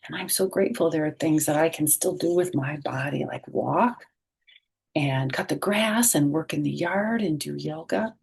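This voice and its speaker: Female storytime host, middle-aged, somewhat husky, fairly low-key pitch movement, gentle read-aloud with friendly explanations for kids. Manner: gentle, expressive, addressing young listeners directly. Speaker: storytime host reading a picture book.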